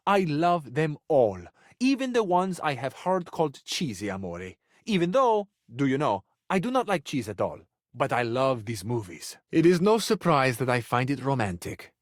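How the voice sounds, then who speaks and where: An actor playing a passionate, sensitive living cabinet in a video game, speaking in flowery, formal phrases with an Italian accent and Italian endearments. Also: male voice, medium pitch, dry recording, clean studio sound, natural voice. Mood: passionate, romantic. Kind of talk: theatrical romantic video game dialogue.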